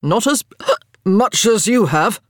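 A woman's voice: medium pitch, lively intonation, takes it slowly, no echo, voice pushed up. Woman acting an exasperated, tipsy young earl. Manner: groaning, dry. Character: serious young English earl, exasperated, drunk. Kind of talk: audiobook character acting.

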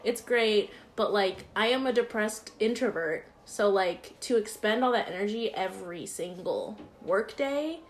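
A woman's voice: mid-range voice, animated, unhurried, few pauses. A woman in her twenties, co-hosting a casual chat and telling self-deprecating stories with frequent giggles. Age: 20s.

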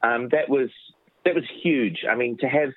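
A man's voice: mid-range voice, normal speed, dry recording. A man, a children's musician, chatting casually in an interview with many restarts, from his home office. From New Zealand. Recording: dry phone-like line.

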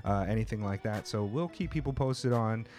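A man's voice: fairly low pitch, moderate pace, a little mellow, soft background music. A man hosting a podcast, chatting casually with slang and frequent laughs. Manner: laid-back, slangy, laughs often. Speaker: podcast host. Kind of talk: casual interview chat.

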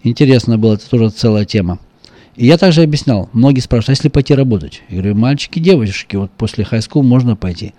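Middle-aged man guest, quick boastful talker, dry close studio sound. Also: mid-range voice, animated, dark tone, no echo. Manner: boastful, joking, rapid storytelling. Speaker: car salesman guest. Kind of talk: casual radio chat.

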